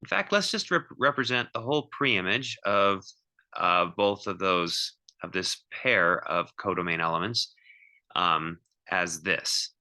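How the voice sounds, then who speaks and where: A man giving an informal, step-by-step math lecture as a teacher, thinking aloud in a halting way and repeating himself. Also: deep voice, expressive, bright, forceful tone.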